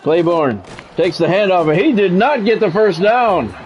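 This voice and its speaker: A man's voice: high voice, normal speed, lo-fi sound, a little hiss or hum. A man doing sports play-by-play of a video game on a stream, mixed with casual chat with viewers.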